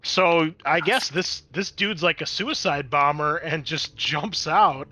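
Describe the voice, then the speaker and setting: A man's voice: on the high side, takes it slowly, sharp tone. A man running a tabletop roleplaying game as game master, switching between dramatic scene-setting and casual rules talk.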